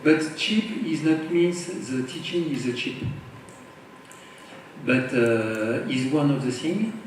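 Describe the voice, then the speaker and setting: A man on a parents' panel, speaking halting non-native English full of hesitations and restarts. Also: mid-range voice, a little hiss or hum. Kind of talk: panel discussion. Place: school hall. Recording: echoey hall, distant mic.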